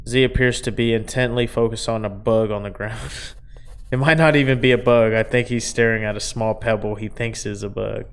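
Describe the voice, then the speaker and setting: A man's voice: medium pitch, steady tone, a little fast, clear, resonant tone. A man reading a visual novel aloud as narration, breaking off for casual commentary.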